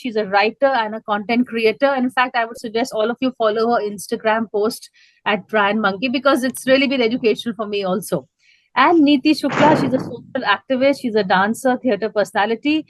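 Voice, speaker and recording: A woman hosts a TV interview program, moving from crisp introductions to searching, gently hesitant questions. Her voice is fairly high, and the sound is dry and close. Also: no echo, rough recording. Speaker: TV news host. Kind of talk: TV interview show hosting.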